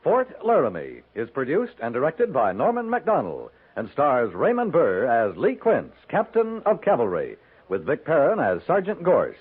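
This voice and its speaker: A man's voice: mid-range voice, wildly swooping pitch, moderate pace, husky. A man reading scripted announcements in the style of a 1950s radio announcer. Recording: phone-like 1950s radio recording with music.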